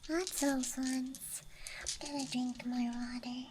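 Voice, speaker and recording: Hushed, whispering high-voiced ASMR woman. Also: flat delivery. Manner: hushed, unhurried murmuring with trailing phrases. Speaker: ASMR host. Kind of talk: whispered ASMR show-and-tell. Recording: clear background noise, night ambience. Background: night campground ambience and clicking of handled objects.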